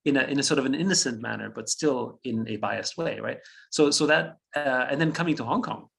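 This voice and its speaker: A man in his fifties who grew up in Austria, German his first language, speaks as an interview guest. His voice has a gravelly, fry-tinged edge at a middling pitch. He reflects out loud, chuckles now and then and often restarts his phrases. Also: a little fast. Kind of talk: conversational interview storytelling.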